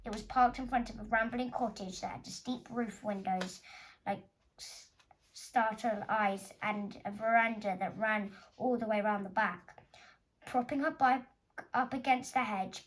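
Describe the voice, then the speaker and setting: A woman with a fairly high voice reads a children's storybook aloud. She narrates expressively, like an author sharing her own tale with young listeners. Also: fairly even intonation, a little slow, low-quality recording. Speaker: author reading own book.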